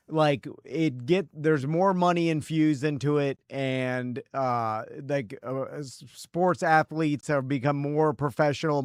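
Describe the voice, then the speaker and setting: A man's voice: fairly high pitch, clear, resonant tone, no echo, clean studio sound. A man in his forties co-hosting a casual podcast, talking in rambling stretches that are full of fillers. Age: 40s. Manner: rambling, many fillers, laughs easily. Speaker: podcast co-host.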